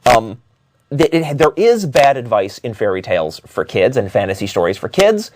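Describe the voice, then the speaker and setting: A man giving unscripted opinion commentary as a talk show host, arguing in a halting way with frequent self-corrections. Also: mid-range voice, very animated, a little mellow, a little hiss or hum.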